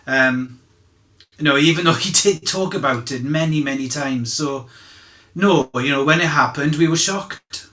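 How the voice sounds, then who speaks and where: A man playing an earnest disciple testifying as an eyewitness at a dramatized inquest, speaking conversationally and haltingly as he searches for words. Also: a little high, pushed and bright. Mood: rueful. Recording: echoey room.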